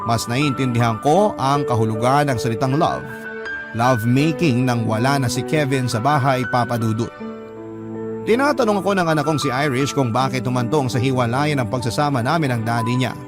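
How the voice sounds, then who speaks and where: A man narrating a listener's letter on a radio drama show in an earnest, steady storytelling manner. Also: medium pitch, normal speed, music in the background.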